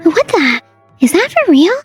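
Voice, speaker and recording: A woman's voice: extremely high pitch, very animated, a little slow, soft background music, exaggerated voice. A woman voicing a worried young office coworker in a manga dub, earnest and anxious.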